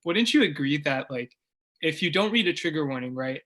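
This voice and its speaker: A teenage boy debating in crossfire, quick to cut in, with many fillers. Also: a little high, lively intonation, a bit bright. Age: teens. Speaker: high school debater.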